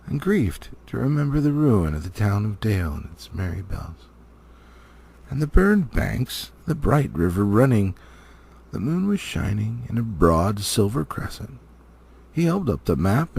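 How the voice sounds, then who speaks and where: A man reads a storybook aloud in an expressive narrating style. His voice is husky and creaky, and his pitch moves widely as he shapes each phrase deliberately and dramatically. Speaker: amateur audiobook reader.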